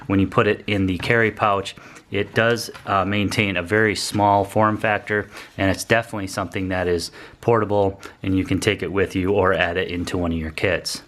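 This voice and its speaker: Male product reviewer, slightly deep voice, informal and plainspoken, walking listeners through features casually. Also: a bit bright, a little hiss or hum.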